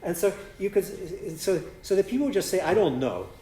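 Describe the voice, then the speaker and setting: A man's voice: high voice, big pitch swings, fairly quick, roomy sound, noisy background. A man whose first language is Polish, a philosophy professor answering audience questions after a lecture, thinking aloud and often checking in with "right?". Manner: thinks aloud, restarts phrases, frequent "right?".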